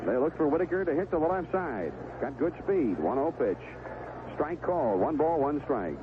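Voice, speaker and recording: A man's voice: fairly high pitch, sing-song, moderate pace, narrow, tinny audio, noisy background. An American man calling baseball play-by-play in a live radio commentary style, on an old 1970s broadcast. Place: stadium broadcast position.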